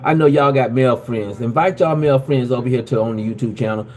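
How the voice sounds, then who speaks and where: A man's voice: mid-range voice, quick pace, darkish tone, a little hiss or hum. A man hosting a livestream in a casual, rambling way, leaning heavily on "you know" as he talks. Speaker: livestream host. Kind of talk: casual chat.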